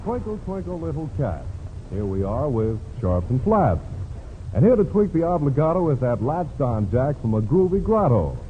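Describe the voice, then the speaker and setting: A man emcees in 1940s radio style, his mid-pitched voice swinging widely through playful, showy jive rhymes. The recording is thin and narrow, with a faint layer of noise. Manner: showy, playful rhyming patter. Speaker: radio show host, master of ceremonies. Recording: narrow, noisy vintage 1940s radio broadcast.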